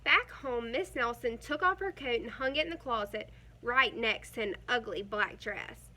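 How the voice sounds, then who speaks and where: A woman reading a children's picture book aloud in an expressive storytelling style. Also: on the high side, normal speed, a little hiss or hum. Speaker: storybook reader.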